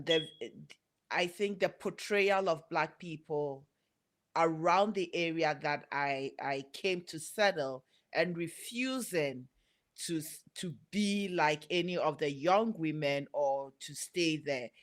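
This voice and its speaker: A woman who immigrated to Canada, hosting a podcast interview, halting and restarting as she talks. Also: low-pitched. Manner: slow, halting, stammers on restarts. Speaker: podcast host. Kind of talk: interview conversation.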